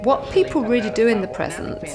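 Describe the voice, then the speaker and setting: Woman narrator for a research film, calm and formal. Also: a little high. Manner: measured, formal. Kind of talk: scripted documentary narration. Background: background music.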